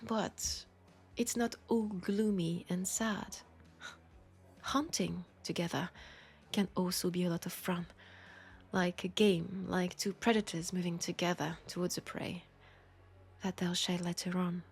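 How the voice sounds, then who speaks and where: A woman with a French accent playing a seductive, world-weary female vampire in an intimate audio roleplay, speaking softly and deliberately, often slipping toward a whisper. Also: lowish voice, level tone, breathy edge. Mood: wistful, playful.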